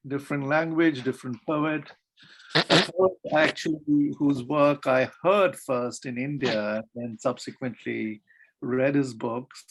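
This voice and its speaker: Male host, steady mid pitch, gravelly, formal introductions over a call. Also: a little hiss or hum, poor audio. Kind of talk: introducing poets.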